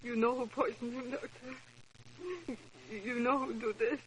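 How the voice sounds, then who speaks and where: An overwrought housemaid with a foreign accent, speaking high and very slowly. Her English is broken and repetitive, heavy with emotion and secrecy. It is delivered in the theatrical manner of 1940s radio drama. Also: slight background noise, rough recording. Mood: secretive, insistent. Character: distraught, hysterical foreign-born housemaid, secretive and insistent. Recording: old radio drama recording.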